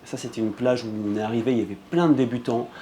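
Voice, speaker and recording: A man narrating his surf trip in a casual, relaxed way, pausing often with fillers. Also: medium pitch, over a noisy crowd. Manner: relaxed, hesitant with many fillers.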